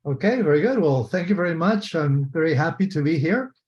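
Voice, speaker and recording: Older Spanish-accented man lecturing, mellow and dull-sounding. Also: fairly high pitch, animated, fairly quick, husky. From Spain. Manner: methodical, repeats and rephrases key points. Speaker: retired biomechanics professor, lecturer. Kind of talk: explanatory online lecture. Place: online video call. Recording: dull, muffled video call audio.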